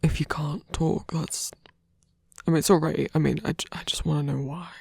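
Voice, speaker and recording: Man acting a hushed, husky, tender lover. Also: on the high side, little pitch movement, moderate pace, no room sound, studio quality. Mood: gentle concern. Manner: soft, hesitant, breathy delivery. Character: gentle, caring male lover soothing a partner. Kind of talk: ASMR roleplay acting.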